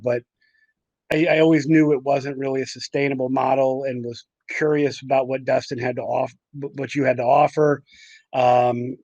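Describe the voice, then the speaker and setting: Dull-sounding, dry recording of a halting man. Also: mid-range voice, darkish tone, dry recording. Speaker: interviewed client, family law attorney. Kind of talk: casual interview talk.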